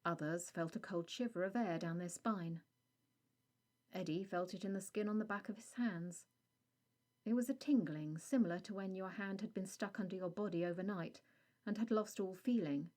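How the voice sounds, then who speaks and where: Woman reading an audio story, lowish relaxed voice, measured narrator delivery.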